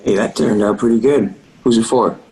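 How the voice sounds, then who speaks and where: An actor playing an earnest, guilt-ridden young leader in a table read over a video call. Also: male voice, mid-range voice, moderate pace, a little mellow, lightly acted, rough recording. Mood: reassuring, determined. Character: earnest, guilt-ridden young man leading trapped boys. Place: remote video call reading.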